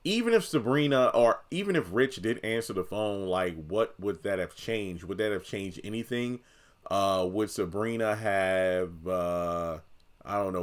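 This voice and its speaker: A man with a lowish voice, the kind heard on a fan's recap channel, chatting to viewers as he puzzles out last night's episode. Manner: conversational, thinks aloud, addresses viewers directly. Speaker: video commentator. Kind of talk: casual opinion commentary on a TV drama.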